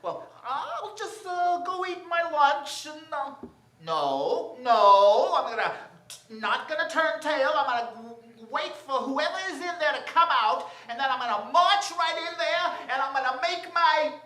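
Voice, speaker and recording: Woman playing a high-voiced, flustered store clerk, echoey. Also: animated, a little slow, a bit bright. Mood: nervous resolve. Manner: stammering, flustered, deferential. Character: nervous, eager married male department store worker. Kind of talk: radio drama acting. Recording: roomy stage recording.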